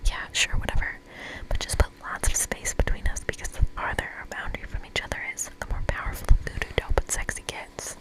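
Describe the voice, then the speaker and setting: A woman's voice: a little fast, few pauses, close to an everyday voice, poor audio. Woman whispering as a sassy young camp witch. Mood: dry, dismissive. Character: confident, exasperated young witch at summer camp.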